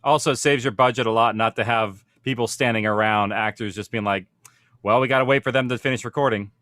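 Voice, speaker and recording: A man's voice: medium pitch, steady tone, quick pace, a bit bright, dry recording, clean studio sound. A man working in audio production, explaining things casually in an informal panel discussion.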